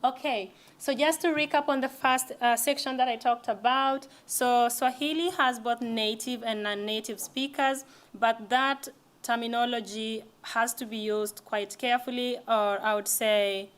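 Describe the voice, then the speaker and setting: A woman's voice: fairly high pitch, steady tone, a little slow. A young woman giving a casual library lecture, talking conversationally with frequent restarts. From East Africa. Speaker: language presenter.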